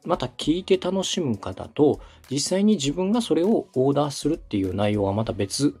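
Husky guy podcast host explaining stuff step by step, real polite, music behind. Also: on the high side, very animated, normal speed, mellow. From Osaka, Japan. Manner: polite, methodical. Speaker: podcast host, radio director giving advice. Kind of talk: explanatory consulting talk.

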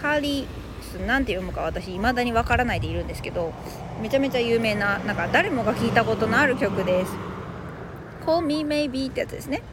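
Lively high-voiced woman teaching, noisy background. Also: brisk. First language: Japanese. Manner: explanatory, addresses listeners directly. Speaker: host of an English phrase lesson podcast. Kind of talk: conversational scripted lesson.

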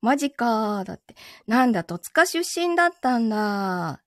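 A woman with a high, very animated voice hosts a casual live chat. She breaks into laughter often. The sound is close and very dry, as in a padded booth. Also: normal speed, no echo. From Yokohama area, Japan. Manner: laughs often while chatting. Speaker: live-stream host and voice performer. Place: indoors in a sound-absorbing foam-lined booth.

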